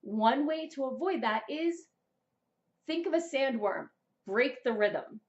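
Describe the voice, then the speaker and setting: A young adult woman presenting a scripted vlog of writing tips in an expressive, deliberate public-speaking manner. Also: high-pitched, dull audio. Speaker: vlogger, writer and amateur voice actor. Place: small plain indoor room, seated.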